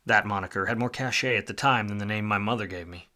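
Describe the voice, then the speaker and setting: An actor playing a world-weary, wisecracking private detective in a film noir radio play, wry and slangy. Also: male voice, on the low side, quick pace, sharp tone. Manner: hard-boiled, wry, slangy.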